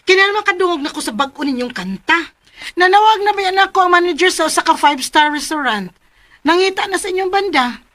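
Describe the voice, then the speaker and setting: A woman's voice: very high-pitched, expressive, fast talker, a bit bright. A woman playing an assertive, questioning young woman in a radio drama. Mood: insistent. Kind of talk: Filipino radio drama acting.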